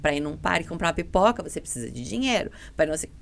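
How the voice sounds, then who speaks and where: Female podcast guest. Casual and outspoken, slightly low register with heavy fry, repeats points for emphasis. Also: sing-song, racing, a little hiss or hum. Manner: blunt, emphatic, repeats herself, quotes dialogue. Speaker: podcast guest, dental mentoring business partner. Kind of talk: casual podcast conversation, animated storytelling.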